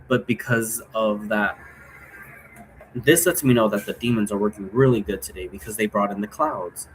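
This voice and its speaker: A man's voice: on the low side, normal speed. Man rambling casually over background noise. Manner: rambling, loosely jumping between asides. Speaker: presenter explaining an occult role-play game. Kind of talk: casual unscripted explanatory talk. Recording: clearly noisy room recording.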